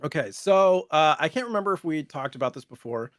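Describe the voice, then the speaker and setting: A man with a slightly higher-than-usual voice, recorded dry and close. He talks casually as a podcast co-host, joking along in an easy conversational way. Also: expressive, a little fast, dry recording. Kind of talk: casual chat.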